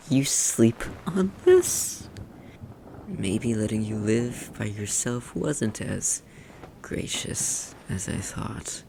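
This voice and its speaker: Possessive demon played by an ASMR roleplayer: condescending, formal, creaky delivery that often sinks to a whisper, over audible background noise. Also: mid-range voice, sing-song, very slow, darkish tone, heavily acted. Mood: possessive, haughty. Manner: condescending, teasing, imperious, theatrically formal. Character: possessive, haughty demon toying with a human captive.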